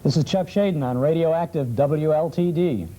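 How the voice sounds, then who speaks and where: A man's voice: fairly high pitch, normal speed, dark tone, lo-fi sound. A man who hosts a radio show, bantering casually as a talk show guest. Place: television studio.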